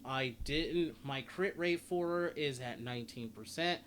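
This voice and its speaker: A man's voice: mid-range voice, measured pace, a bit bright. A man giving a casual video game guide, explaining and reading out stats as he goes. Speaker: gaming guide creator. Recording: clear background noise, game ambience. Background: game sounds and ambience.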